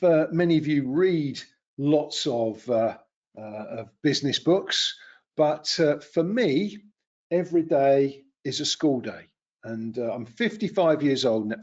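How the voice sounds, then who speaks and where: A man's voice: mid-range voice, a little mellow, dull audio. A man in his fifties giving an unscripted webinar lecture, conversational and rambling, with many fillers and restarts. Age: 50s. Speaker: webinar presenter. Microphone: computer webcam and mic.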